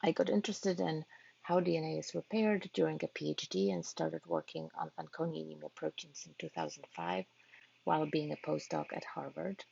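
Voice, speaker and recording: A low-voiced woman from Poland with a heavily gravelly, husky tone, presenting her research to patient families. She speaks in a measured, earnest way and pauses now and then to find a word. The audio is dull and lo-fi, as from an online talk. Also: expressive, a little mellow. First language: Polish. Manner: measured, earnest, occasional hesitations. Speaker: physician-scientist giving a research talk.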